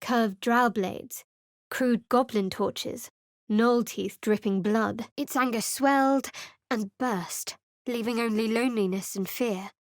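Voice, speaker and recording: A secretive young cleric, a woman with a cool, dry wit who keeps everyone at arm's length. Her tone sits fairly high, and she delivers her lines close to the mic in a dead, dry studio. It is polished video game dialogue. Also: slow, dry recording. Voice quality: cool, wry and guarded. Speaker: game character. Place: studio booth.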